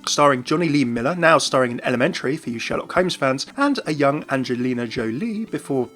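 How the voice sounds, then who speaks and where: A man's voice: mid-range voice, animated, a little fast, a bit bright. A British man hosting a gaming video, giving an enthusiastic scripted explanation. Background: faint music.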